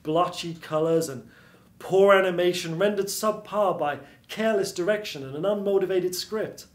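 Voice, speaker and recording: A man in his thirties narrates a noir tale in radio-play style. His voice is fairly high for a man and carries the roomy sound of a small theatre stage. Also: normal speed. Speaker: storyteller. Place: small theatre stage before seated audience.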